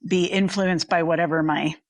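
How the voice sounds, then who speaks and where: A woman's voice: lowish voice, fairly even intonation, moderate pace, no room sound. A woman in casual conversation, talking in a self-deprecating way.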